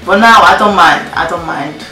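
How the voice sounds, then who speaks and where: A young woman whose first language is Spanish, chatting casually to her camera in a story-time vlog. She talks loosely, repeats her own phrases, and fills pauses with many ums. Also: on the low side, bright, forceful tone. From Spain. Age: young adult. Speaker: vlogger. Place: indoor room against a plain wall. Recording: roomy echo, faint music.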